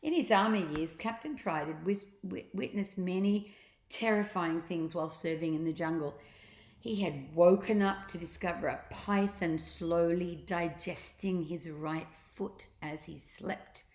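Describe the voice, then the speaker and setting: A woman's voice: fairly low pitch, measured pace, a little mellow, echoey room. A woman reading a children's story aloud expressively, acting out sounds and adding little asides to her listeners. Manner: theatrical, acts out sound effects.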